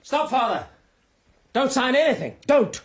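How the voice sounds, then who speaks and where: An actor playing an earnest, determined young lord with an upper-class English accent, urgent and articulate, in a radio drama. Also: male voice, very high voice, big pitch swings, measured pace, breathy edge, full character voice. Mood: urgent, indignant. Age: young adult.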